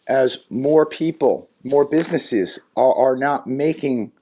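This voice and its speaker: Raspy-voiced man giving earnest warnings. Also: mid-range voice, slow pace, dark tone. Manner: earnest, cautionary, with frequent fillers and repeated words. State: hoarse, raspy voice from sinus infection. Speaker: channel host and economy commentator. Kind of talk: solo commentary vlog.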